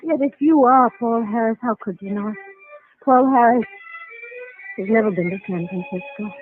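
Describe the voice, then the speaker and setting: An actress playing an earnest, lovestruck and troubled young woman in a mid-century radio melodrama, measured and dramatic. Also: on the high side, close to an everyday voice. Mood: troubled, probing. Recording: tinny vintage radio recording, music behind.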